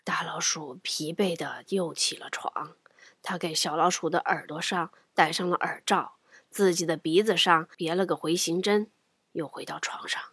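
A woman's voice: on the low side, fairly even intonation, moderate pace, a bit bright, breathy edge, no echo, clean studio sound. A woman narrating a picture book for children, playful and dramatic, often dropping into a whisper. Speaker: children's storyteller.

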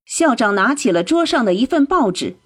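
A woman narrating a scripted radio drama in a clearly phrased storytelling manner. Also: on the high side, sing-song, moderate pace. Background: music.

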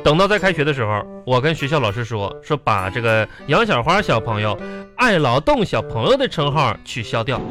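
A man's voice: mid-range voice, big pitch swings, a little fast, over music, lightly acted. A man playing a blustering, stingy, teasing father in a comedy radio drama, sputtering and repeating himself as he coaxes and scolds. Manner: sputtering, repetitive, coaxing and scolding.

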